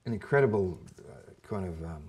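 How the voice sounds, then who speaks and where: An older man hosting a community TV interview show, asking questions haltingly and searching for his words. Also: low voice, animated, darkish tone, slightly rough. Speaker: host. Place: dark television studio.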